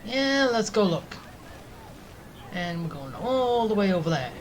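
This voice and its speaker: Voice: mid-range voice, sing-song, unhurried, a little hiss or hum, poor audio. Hesitant gamer muttering through gameplay. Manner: hesitant, repeats words, muttering to self. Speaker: gaming streamer, player. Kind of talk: casual gameplay commentary.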